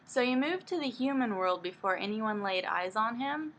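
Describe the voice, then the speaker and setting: A woman's voice: fairly high pitch, animated, moderate pace, a bit bright, slight background noise. A woman voicing a demanding, amorous and suspicious young nobleman in a dramatic book reading. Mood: suspicious, accusing. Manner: bold, demanding.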